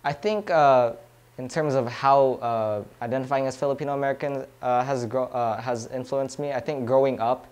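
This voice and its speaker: A young man from California answers off the cuff at a middle pitch. He picks his words carefully, sprinkling in frequent "uh"s. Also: steady tone, moderate pace, a little mellow. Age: young adult. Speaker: student interviewee. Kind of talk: unscripted reflective answers.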